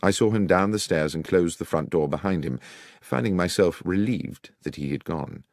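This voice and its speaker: A man with a British accent narrating a scripted first-person story in a measured, dramatic manner. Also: sits low, a little mellow, no echo. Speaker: audiobook narrator.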